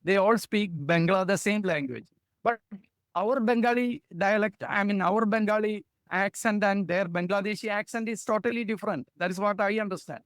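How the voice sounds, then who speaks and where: Emphatic high-voiced Indian man. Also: moderate pace, a bit bright, no room sound. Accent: Indian English. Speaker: guest journalist. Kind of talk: opinionated discussion.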